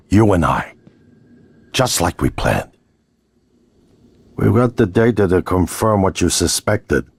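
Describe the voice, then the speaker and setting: A man playing a persuasive, scheming sci-fi executive in a video game, speaking in a measured, earnest way. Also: heavily acted. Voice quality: low, husky. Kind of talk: dramatic video game acting.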